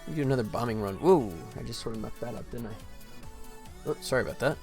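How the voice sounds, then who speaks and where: A man talks casually through his work on a game development stream. His pitch is average for a man, and he thinks aloud in an informal way. Faint music plays behind him. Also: quick pace. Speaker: indie game developer, livestream host. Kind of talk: casual work-along commentary.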